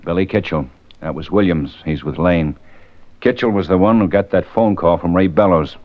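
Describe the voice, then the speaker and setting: Man acting a very deep-voiced, commanding police detective. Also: moderate pace, close to an everyday voice. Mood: businesslike. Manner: clipped, commanding. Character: gruff, businesslike male police detective in charge. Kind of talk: 1950s radio drama acting. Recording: noisy, dull vintage radio broadcast.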